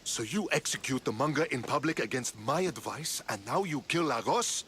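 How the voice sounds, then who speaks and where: An actor playing a stern, disapproving Spartan general with a gravelly voice. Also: male voice, mid-range voice, animated, moderate pace, fairly bright tone, a little hiss or hum.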